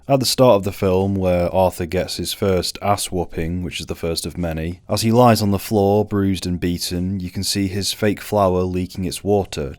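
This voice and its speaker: Male film reviewer, monotone delivery, low-pitched, dry and self-deprecating, dead-dry sound. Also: no room sound. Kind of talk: scripted video essay review.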